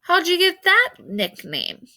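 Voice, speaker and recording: A woman's voice: very high-pitched, huge pitch swings, slow pace, bright, forceful tone, voice pushed up, full character voice. A woman reading a storybook, playing cheeky, curious young schoolboys who ask questions with mild indignation. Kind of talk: storybook read-aloud character voice.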